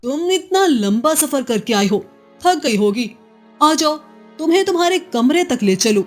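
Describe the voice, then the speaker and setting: A woman's voice: sits high, expressive, measured pace, faint music behind. A woman playing a proud, jealous and scheming chieftain's wife in a cartoon story, haughty and scornful in manner. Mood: probing, polite. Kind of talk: Hindi moral-story cartoon dialogue.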